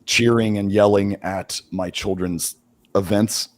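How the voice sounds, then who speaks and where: A middle-aged man with a hoarse voice hosting a casual livestream, talking in halting bursts with many restarts. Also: on the low side, fairly even intonation, dry recording. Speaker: host.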